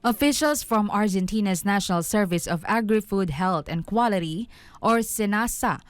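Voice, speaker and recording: A woman's voice: mid-range voice, moderate pace, no room sound. A woman news reporter delivering scripted narration.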